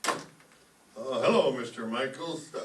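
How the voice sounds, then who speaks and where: An actor playing a pompous, flustered high school principal with a halting, blustering manner, in an old-time radio comedy style. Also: male voice, lightly acted. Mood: befuddled. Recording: roomy, distant stage pickup with background hiss.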